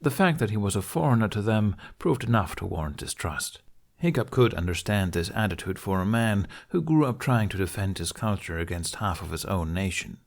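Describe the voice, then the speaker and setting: A man's voice: lowish voice, expressive, brisk, vocal fry. A man reading a scripted story aloud as an audiobook narrator.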